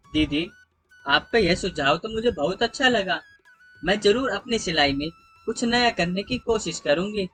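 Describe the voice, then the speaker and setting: A storyteller acts out a poor village seamstress mother, humble and earnest. Her mid-pitched voice is a little husky and gentle, with music underneath, as in a bedtime moral tale. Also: expressive, moderate pace. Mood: hopeful.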